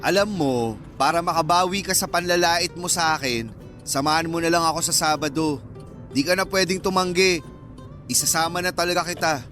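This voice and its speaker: A cocky, protective young Filipino man, played by an actor in a radio romance drama. He banters in a playful, boastful, teasing way over soft background music. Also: on the high side, steady tone, brisk. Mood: persuasive. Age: young adult.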